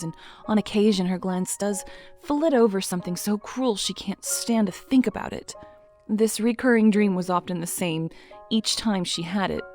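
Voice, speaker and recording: A woman narrating an audio drama in a measured, dramatic storytelling manner. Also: medium pitch, darkish tone.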